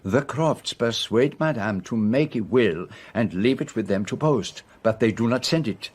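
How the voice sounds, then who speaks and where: An actor playing a self-assured foreign detective, speaking deliberately and formally as he explains a case in a radio drama. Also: mid-range voice, animated, slightly rough. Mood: explaining, assured. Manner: deliberate, theatrical, formal phrasing with French forms of address.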